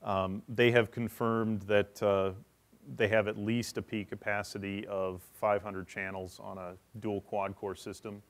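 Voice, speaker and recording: Low-pitched man giving a technical presentation. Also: little pitch movement, a little slow. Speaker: conference session presenter. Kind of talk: technical conference presentation, semi-scripted explanation.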